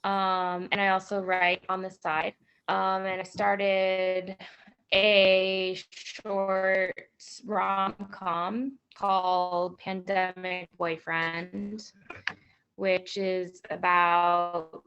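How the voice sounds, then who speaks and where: Very slow, smooth, lowish-voiced woman. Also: level tone, fairly bright tone. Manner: hesitant, rambling delivery. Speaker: background actress and writer. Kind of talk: casual self-introduction. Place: video call. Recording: dull, lo-fi video-call audio.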